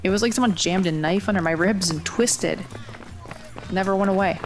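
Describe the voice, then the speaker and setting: A woman's voice: medium pitch, normal speed, lightly acted. A woman playing a determined, grieving young runner in an audio drama, wry, guarded and understated. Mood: frustrated, downcast. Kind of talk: audio drama acting, first-person narration and dialogue.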